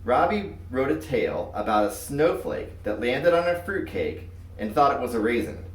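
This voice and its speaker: A man reading a children's story aloud in an expressive, scripted narrating style. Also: medium pitch, normal speed. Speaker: storybook reader. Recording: roomy echo, far from mic.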